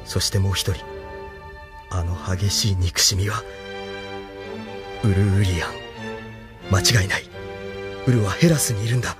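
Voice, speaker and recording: An actor playing an earnest, intense young rebel hero in a Japanese audio drama, speaking with urgent, questioning drama. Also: male voice, low-pitched, measured pace, long pauses. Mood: tense realisation. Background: music.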